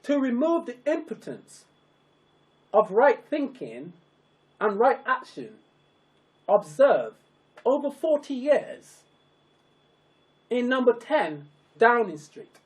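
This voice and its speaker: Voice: medium pitch, sing-song, takes it slowly, long pauses, low-quality recording. A narrator delivering a scripted public address in solemn, emphatic, declamatory style, breaking sentences into short, weighted phrases.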